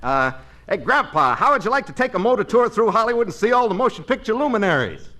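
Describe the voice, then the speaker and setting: A man's voice: high voice, sing-song, fast talker, bright, forceful tone, exaggerated voice. An actor playing a brash small-time con man with an American accent, all glib sales patter in 1940s radio comedy style. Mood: coaxing. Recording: old radio recording with hiss and clicks.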